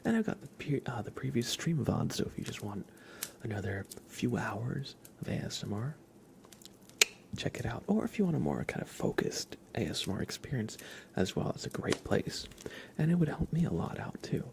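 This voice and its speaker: A man streaming ASMR in a soft, often whispery voice, chatting in an unhurried, low-key way with pauses. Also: vocal fry, background noise. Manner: hushed, unhurried, relaxed delivery with pauses. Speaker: ASMR livestreamer.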